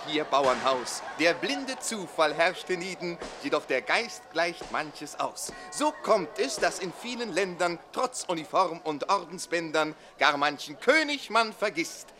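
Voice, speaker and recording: An actor playing a witty, cheeky young manservant in a theatrical, teasing radio comedy style. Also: male voice, fairly high pitch. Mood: declamatory. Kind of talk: German radio play comedy acting.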